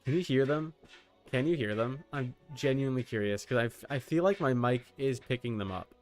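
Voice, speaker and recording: A man's voice: medium pitch, a little fast, faint music behind. A man chatting casually and swearing as he livestreams while setting up a game character. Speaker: streamer.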